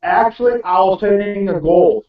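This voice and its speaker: A high-voiced man trades jokes in a world-weary, sardonic manner. The sound is very echoey and muffled, well away from the mic. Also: a little slow, distant mic. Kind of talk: casual joking round-table chat.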